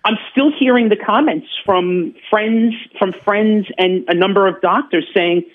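Guy caller, pretty high voice, tinny phone line, earnest, halting, repeats himself to make a point. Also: slight background noise. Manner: halting, repeats words for emphasis.

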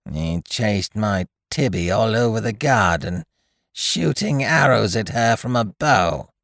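A man voicing a suspicious, grudging old woman in her eighties who speaks slowly and accusingly. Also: lowish voice, lively intonation, gravelly, a bit bright, dry recording, studio quality, exaggerated voice. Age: 80s. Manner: slow, crotchety, accusing.

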